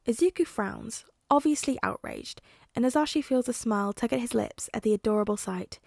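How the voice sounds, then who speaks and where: A woman reading fan fiction aloud in a gentle, even storytelling manner. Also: fairly high pitch, a little fast, gravelly, no echo, clean studio sound. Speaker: audiobook reader.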